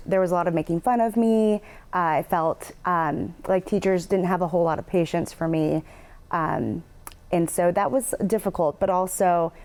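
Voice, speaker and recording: A woman panelist whose first language was Spanish, speaking earnestly about her childhood with frequent pauses. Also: on the low side, clear, resonant tone. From United States. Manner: soft-spoken, earnest, frequent ums. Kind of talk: personal storytelling on a panel.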